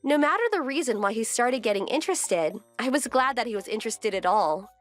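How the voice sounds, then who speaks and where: A woman's voice: fairly high pitch, expressive, a little fast, soft background music, natural voice. A woman playing a composed, wry wife who narrates her domestic story in a scripted manga dub. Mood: calm.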